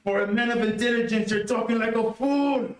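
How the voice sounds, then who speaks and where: Radio mystery convict male actor: sneering, callous, very high gravelly voice, roomy sound. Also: a little fast. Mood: scornful. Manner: sneering, dismissive. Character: scornful, skeptical male escaped convict, nervy and callous. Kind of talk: old-time radio mystery acting. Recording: roomy, distant amateur stream audio.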